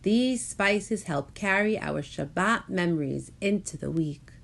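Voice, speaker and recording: A woman voicing an easygoing, affectionate father in a children's storybook, relaxed and friendly. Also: lowish voice, measured pace, vocal fry, heavily acted. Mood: content.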